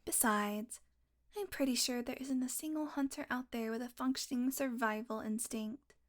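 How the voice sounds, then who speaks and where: A woman playing a sardonic, teasing, predatory vampire with a soft spot, in an intimate, sweary audio roleplay monologue that often drops to a murmur. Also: a little high, fairly even intonation, normal speed, close to an everyday voice. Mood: wry, musing.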